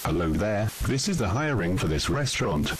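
A man's voice: fairly low pitch, very animated, normal speed. A man voicing a friendly, polite caveman cook in an amateur cartoon, on a noisy, hissy home microphone. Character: friendly deep-voiced caveman cook seeking work.

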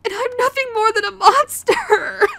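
Woman acting a high-pitched, clingy yandere girlfriend. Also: gravelly, fairly bright tone, full character voice. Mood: tearful, guilty. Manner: hesitant, pleading, halting. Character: sweet, clingy, remorseful yandere girlfriend in recovery. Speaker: voice actor. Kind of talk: audio roleplay, intimate addressed to listener.

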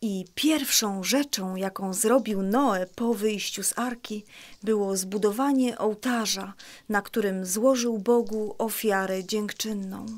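A woman playing a patient mother who explains a Bible story to children in a children's audio drama, reassuring and clear. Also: mid-range voice, slow pace, close to an everyday voice. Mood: reverent, assured.

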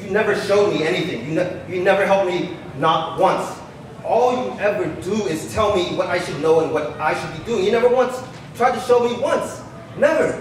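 A man's voice: sits high, normal speed, natural voice. Aggrieved grown son played by a man, accusing, staged drama reading, picked up from afar with faint noise. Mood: angry, accusing. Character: wounded, resentful grown son. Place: large echoey public hall with glass balcony. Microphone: handheld microphone, heard through room speakers. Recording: echoey hall, distant, faint noise.

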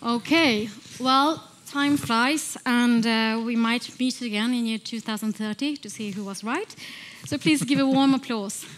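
A woman moderating a panel discussion on a conference stage, brisk and lightly hesitant, speaking into a headset mic. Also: on the high side, a little hiss or hum, rough recording.

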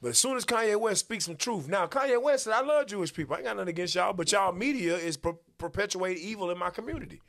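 A man hosts a religious commentary show from a small studio, speaking into a boom mic. He teaches in a preacherly style, his voice a little high and lively, and he keeps calling for scripture to be read. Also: quick pace, gravelly, few pauses. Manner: animated, preacherly, calls for verses. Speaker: host and Bible teacher. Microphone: boom-arm studio mic.

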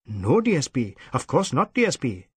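A man's voice: mid-range voice, huge pitch swings, moderate pace, husky, dry recording, heavily acted. A man voicing an anxious, deferential Indian police inspector, hesitant and eager to please, in an audiobook. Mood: nervous, deferential. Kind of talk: audiobook character acting.

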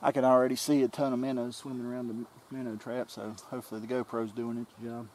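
A man's voice: medium pitch, fast, slight background noise. A man in his forties casually explaining his creek fishing for his outdoor vlog, talking straight to a nearby camera outdoors. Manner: relaxed, explains as he fishes. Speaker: outdoor vlogger. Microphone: camera-mounted mic, close framing. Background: faint outdoor nature ambience.

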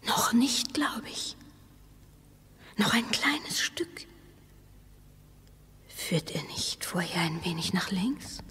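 An actress playing a wary, doubting wife in a German radio play, hesitant and sceptical, with a breathy, often whispery voice. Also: female voice, medium pitch, animated, slow pace, pauses often, bright, forceful tone, full character voice. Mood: doubtful, suspicious. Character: wary, doubting wife exploring underground with her husband. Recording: long hollow reverberation.

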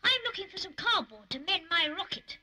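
A woman's voice: very high-pitched, expressive, a little fast, heavy background noise. A woman voicing an eager, brave-talking little toy boy in a children's puppet adventure, earnest and exclaiming in a childlike way. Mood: wondering.

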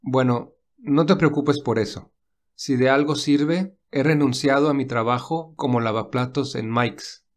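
A man voicing a gentle, protective young man who speaks calmly and reassuringly in a read-aloud story. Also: mid-range voice, measured pace, natural voice. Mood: calm caring. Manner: calm, reassuring. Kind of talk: audiobook dialogue reading.

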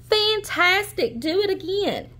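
A woman's voice: very high voice, very animated, slow, bright, forceful tone, pitched above the performer's own voice, heavily acted. A woman voicing a bold young storybook princess who speaks emphatically. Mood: eager. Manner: emphatic, exclaiming.

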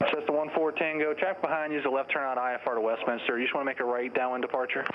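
A man working as an air traffic controller, giving brisk, clipped instructions over the radio. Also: medium pitch, little pitch movement. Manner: brisk, procedural. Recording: dull radio transmission with engine noise.

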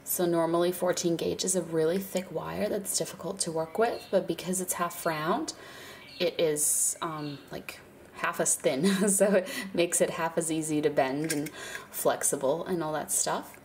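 A woman whose voice sits quite low and sounds stuffed up from a cold. She explains a craft project in a relaxed, chatty way with easy fillers. A faint hum of room ambience sits behind her. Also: measured pace. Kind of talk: casual hands-on tutorial narration. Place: indoors at a craft table, birds outside.

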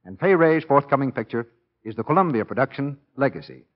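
American man, 1940s announcer and pitchman, animated delivery, middle pitch, dull vintage sound. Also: a little fast. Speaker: radio announcer. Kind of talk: 1940s radio announcing and advertising. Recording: muffled 1940s radio broadcast.

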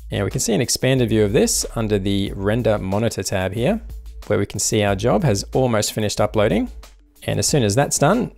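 A man hosting a screen-recorded software tutorial, explaining each step calmly in a voice-over. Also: on the low side, vocal fry. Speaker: tutorial host.

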